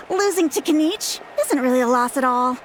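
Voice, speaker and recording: A woman voicing an eager, excitable young woman in a video game, breathless and quick to jump in. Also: high-pitched, noisy background.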